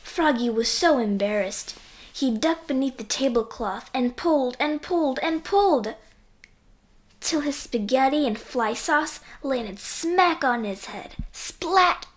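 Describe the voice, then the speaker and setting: A woman's voice: high voice, expressive, slow. A woman reading a children's storybook aloud expressively, acting out the sound effects. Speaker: reader of children's books.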